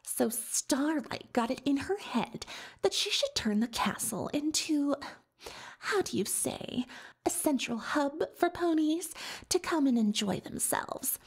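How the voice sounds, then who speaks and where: A vain young female unicorn stage magician from a cartoon world, in an ASMR roleplay. Her voice is high and hushed, often dropping into a whisper. She takes her time, with sweeping melodic rises and falls, boasting theatrically and excusing herself with great self-importance. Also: expressive, takes it slowly, heavily acted. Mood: dramatic storytelling.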